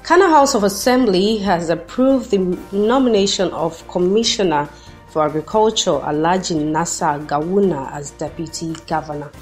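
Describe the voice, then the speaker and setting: A woman's voice: on the low side, faint music behind. Nigerian woman reading radio news. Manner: measured, formal delivery. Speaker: newsreader.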